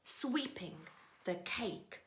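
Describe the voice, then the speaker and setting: Slow, highly animated woman storyteller. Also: a little hiss or hum. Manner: dramatic, alternating loud lines with hushed asides. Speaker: teacher reading storytime for young children. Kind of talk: expressive children's story reading.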